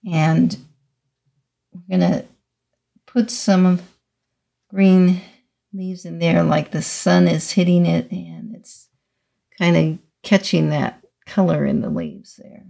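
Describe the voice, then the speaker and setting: Slow, creaky-voiced woman teaching painting. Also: fairly low pitch, warm tone. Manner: halting, thinking aloud while painting. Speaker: watercolor teacher. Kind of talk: step-by-step painting tutorial. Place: indoors, small studio room at a table.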